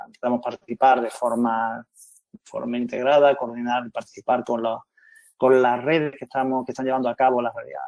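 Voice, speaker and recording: A man from Spain of middle pitch gives a businesslike online presentation in a dry, close sound. He speaks fluently and restarts a phrase now and then. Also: darkish tone, dry recording. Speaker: company representative on a webinar panel.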